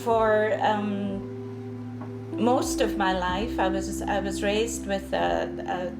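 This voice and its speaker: A woman in her sixties from Scotland with an Edinburgh accent, speaking as a guest on a podcast interview, slow and reflective with frequent restarts. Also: mid-range voice, steady tone, roomy sound, loud music behind the voice. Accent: Scottish, Edinburgh. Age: 60s. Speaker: guest author.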